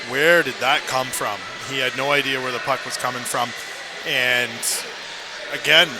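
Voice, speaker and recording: A man's voice: medium pitch, background noise. A man giving live analysis as a hockey colour commentator. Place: indoor ice hockey arena.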